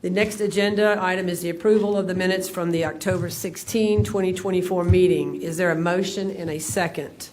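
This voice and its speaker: A woman's voice: on the low side, fairly even intonation, a little fast, hardly any pauses, crowd noise. A woman chairing a county commission meeting, speaking formally into a table microphone with some fillers.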